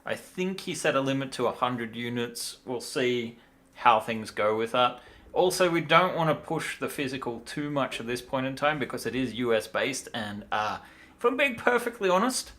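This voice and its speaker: A man's voice: medium pitch, expressive, moderate pace, pushed and bright. A tired man talking casually and in a rambling way as he hosts a livestream demo. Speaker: livestream host, miniature designer.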